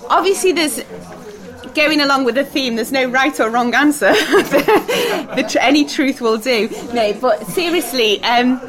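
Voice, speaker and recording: A woman's voice: high voice, lively intonation, a little slow, crowd noise. A woman teaching a church group in a casual, chatty way, laughing often and putting questions to the room over background noise. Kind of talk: talk with group discussion.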